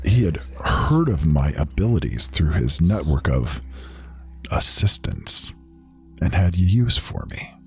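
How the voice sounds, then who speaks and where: A man performs a proud fantasy mage with an extremely low voice. He tells of his life gravely and earnestly, in old-fashioned storyteller phrasing, with faint music underneath. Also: big pitch swings, slow pace, darkish tone, heavily acted. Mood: proud, relieved. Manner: solemn, archaic storyteller phrasing. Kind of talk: dramatic fantasy story narration.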